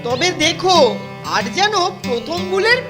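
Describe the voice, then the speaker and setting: Really high cartoon schoolboy, eager agreeable sidekick, music in the back. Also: female voice, expressive, a little slow. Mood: earnest.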